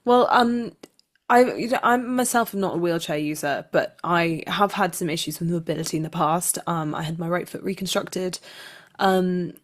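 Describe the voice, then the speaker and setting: A woman's voice: lowish voice, moderate pace. A woman speaking as an actor being interviewed, answering in a reflective, articulate and conversational way.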